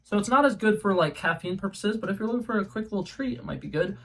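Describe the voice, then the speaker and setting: A young man with a fairly high voice chats his way through a taste test from his room at home. He rambles, restarts his sentences and fills the gaps with ums, in a loose and casual vlog manner. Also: lively intonation, fast talker, vocal fry, fairly bright tone, poor audio. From New England. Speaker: YouTube host. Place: home room with a color-changing globe light.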